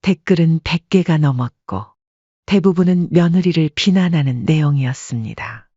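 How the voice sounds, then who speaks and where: Husky woman narrating a dramatic story. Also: sits low, moderate pace, warm tone, dry recording, muffled recording.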